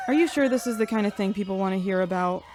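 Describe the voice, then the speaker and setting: A woman's voice: medium pitch, a little mellow, close to an everyday voice. A woman playing a shy, earnest animal keeper on a starship in a sci-fi radio drama, rambling hesitantly. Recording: faint ambient noise, staged barn.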